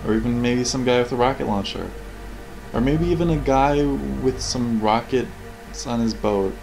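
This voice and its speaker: A man's voice: medium pitch, normal speed, gravelly, poor audio. A man giving casual, rambling, critical off-the-cuff commentary on video game cutscenes. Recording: game soundtrack playing behind. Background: video game cutscene audio and music.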